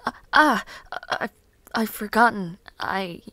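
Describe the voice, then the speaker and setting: A woman playing a caring, easily flustered young noblewoman in a fantasy audio roleplay, soft-spoken, hesitant and politely formal. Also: mid-range voice, lively intonation, takes it slowly, no echo, full character voice.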